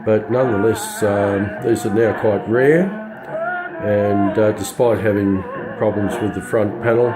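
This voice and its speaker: An Australian man giving an informal hands-on product walkthrough in a halting, understated way, his voice slightly scratchy as he gets over influenza. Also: lowish voice, steady tone, over music. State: recovering from influenza. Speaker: radio hobbyist reviewer.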